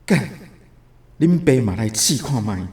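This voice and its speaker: A working-class Taiwanese father who likes a gamble in a radio drama. His mid-pitched voice is earthy, plain and coaxing. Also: very animated, a little slow, darkish tone, husky.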